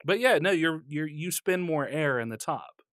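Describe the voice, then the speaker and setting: A man's voice: a little high, lively intonation, normal speed, a bit bright, no echo, studio quality. A man co-hosting a podcast, teasing his co-host, explaining things and laughing often. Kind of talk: casual chat.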